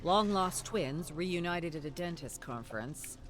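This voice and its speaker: A narrator reading scripted game story narration in a measured, expressive way. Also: mid-range voice.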